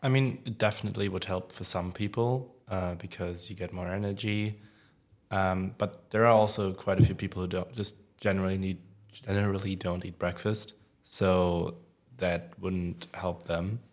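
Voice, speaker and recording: Low, flat-voiced young man. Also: moderate pace. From Germany. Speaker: podcast guest. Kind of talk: casual discussion.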